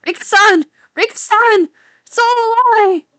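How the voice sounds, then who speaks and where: A woman's voice: very high-pitched, a little slow, pushed and bright, dry recording, exaggerated voice. A woman voicing a grief-crazed teenage girl, shrieking and sobbing in repetitions. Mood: desperate grief.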